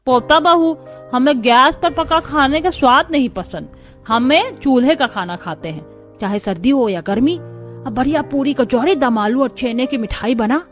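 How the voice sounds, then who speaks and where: Woman doing a high, commanding old grandmother. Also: expressive, normal speed, faint music behind.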